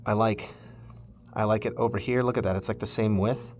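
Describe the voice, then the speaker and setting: A man's voice: lowish voice, fairly even intonation, a little fast. A man casually walking viewers through a hands-on DIY project, thinking aloud in an informal way as he goes. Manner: informal, thinking aloud while handling parts. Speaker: host of a DIY electronics project video.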